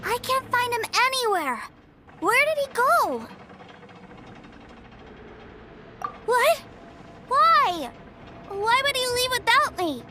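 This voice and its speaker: A woman's voice: very high voice, sing-song, slow pace, background noise, exaggerated voice. A woman voicing a sweet, eager little boy with wide-eyed, childlike delivery in a video game. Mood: upset. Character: eager, sweet little boy who adores his brother.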